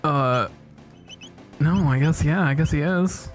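A man playing a video game and riffing with a co-host, improvising silly gravelly character voices. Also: on the high side, takes it slowly, faint music behind. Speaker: game player. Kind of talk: comedic let's play banter.

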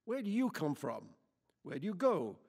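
A man's voice: on the high side, animated, measured pace, big-room sound. A man reading aloud and voicing a hostile, accusing young American woman who confronts strangers at a campground, with drawling slang.

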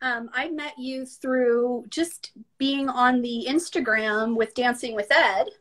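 A woman's voice: fairly high pitch, slow pace, a bit bright. A middle-aged woman hosting a live video call interview, talking in an encouraging way with many fillers and restarts, on a webcam at home. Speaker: interview host. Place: home room, indoors.

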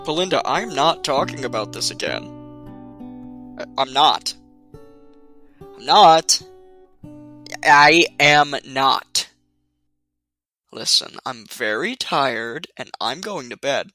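A man's voice: mid-range voice, animated, takes it slowly, pauses often, pushed and bright, soft background music. An actor playing an irritated, sarcastic young man on the phone in a radio play, with an emphatic, argumentative way of talking. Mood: exasperated, angry.

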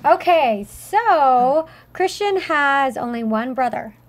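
A woman's voice: sits high, animated, slow. A woman co-hosting a fashion talk show, conversational and thoughtful, on a studio mic. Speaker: co-host. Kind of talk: casual studio chat. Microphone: studio mic with headphones.

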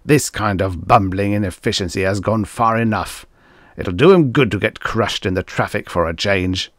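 A man voicing a chubby, domineering TV producer in an audiobook, rumbling, petulant and menacing. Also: normal speed, a bit bright, no echo, studio quality. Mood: petulant, scornful. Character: chubby, irritable, domineering male TV producer boss. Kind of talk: acted audiobook dialogue.

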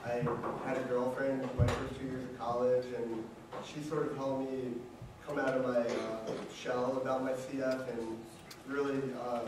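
A man's voice: mid-range voice, level tone, normal speed. Young man speaking in an echoey room. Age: 20s. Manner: earnest, advice-giving, many fillers. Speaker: panelist. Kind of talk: casual personal account. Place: large meeting room, indoors. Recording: very echoey room, distant mic, noisy.

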